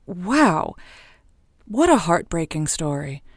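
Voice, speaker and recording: An earnest radio call-in host in a comedy show. Her voice sits a little low and is recorded dry. She sounds sympathetic and slightly hesitant. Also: sing-song, takes it slowly, no echo, heavily acted.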